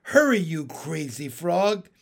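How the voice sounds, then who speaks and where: A man's voice: on the high side, very animated, slow, vocal fry, full character voice. A man voicing a bossy, streetwise wild frog in a storybook, impatient, exasperated and emphatic. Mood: urgent, exasperated.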